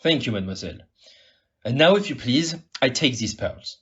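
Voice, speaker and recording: An actor playing a shrewd, courteous detective in an audio play, with a deliberate, pompous manner. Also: male voice, mid-range voice, expressive, dull audio, lightly acted. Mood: gentle. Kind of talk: amateur audio play acting.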